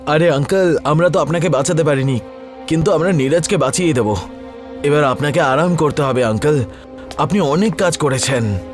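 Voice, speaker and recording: An earnest young cartoon friend put on by a man speaks in a raised, high voice over loud music. The delivery is caring, alarmed and urgent, pleading through an animated horror adventure. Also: moderate pace, natural voice. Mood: earnest, tender.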